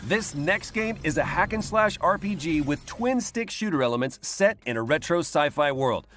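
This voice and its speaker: Male games showcase co-host, scripted links, animated higher voice, faint music behind. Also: moderate pace. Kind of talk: scripted presenting.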